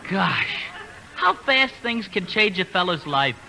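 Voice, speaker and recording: A man's voice: sits high, very animated, slow pace, vocal fry, bright, forceful tone, some chatter behind, slight background noise, exaggerated voice, rough recording. An actor playing a whiny, gullible young college man in an old radio drama, flustered and sniffly. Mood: aggrieved. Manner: flustered, sniffling, folksy exclamations. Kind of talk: 1950s radio comedy-drama acting.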